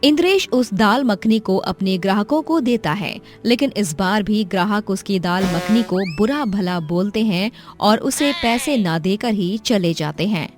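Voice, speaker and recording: Woman narrating a moral story, mid-pitched. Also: animated, measured pace, few pauses, soft background music.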